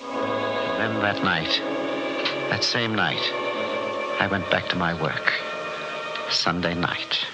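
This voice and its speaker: An actor playing a cold, arrogant middle-aged scientist husband in a 1960s radio horror play, with an imperious, scornful manner. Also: male voice, low-pitched, slow, pauses often, loud music behind the voice. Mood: brooding. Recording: noisy old radio recording.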